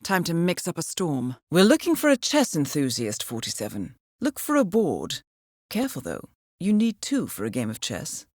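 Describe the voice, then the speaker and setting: An actress playing a composed, shrewd intelligence handler in a video game, giving mission briefings in a measured, precise and dryly wry way. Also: female voice, on the low side, wildly swooping pitch, darkish tone, no room sound, studio quality. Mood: composed, intrigued.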